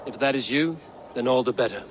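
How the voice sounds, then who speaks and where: A man playing a stern, proud Viking raider in a video game, speaking in a blunt, measured way. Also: mid-range voice, slight background noise, close to an everyday voice. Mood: measured, confident. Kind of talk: video game cutscene acting.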